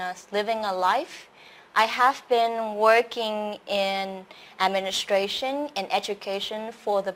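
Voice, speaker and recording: A young woman with a Vietnamese accent hosts a live-stream English lesson at an unhurried pace. She chats easily and fills her pauses with soft "uh" and "um" sounds. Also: medium pitch. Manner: chatty, full of filler sounds. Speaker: host.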